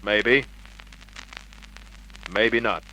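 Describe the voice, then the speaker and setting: Bright-voiced, hard-boiled radio detective. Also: male voice, lowish voice, very slow, heavily acted. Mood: dry, questioning. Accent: Australian. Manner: clipped, hard-boiled, matter-of-fact. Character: tough, wry male private investigator narrating his case. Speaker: lead actor and narrator. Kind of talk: 1950s radio detective drama acting. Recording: noisy vintage radio drama recording.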